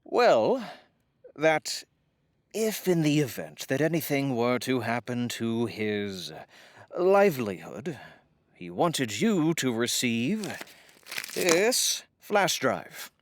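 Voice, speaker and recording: Florid, swooping, fussy lawyer. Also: male voice, mid-range voice, sing-song, takes it slowly, dry recording. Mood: delicate, apologetic. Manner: florid, formal, gushing diction. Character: fussy, dog-doting male lawyer, courtly and saucy. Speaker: voice actor. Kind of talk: comedic audio drama acting.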